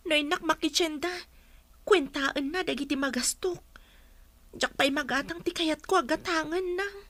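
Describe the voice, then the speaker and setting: A woman's voice: sits high, normal speed, a bit bright, no echo. A Filipino woman playing a distressed young wife and mother in a radio drama, speaking in a plaintive, pleading way. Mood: downcast. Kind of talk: Philippine radio drama acting.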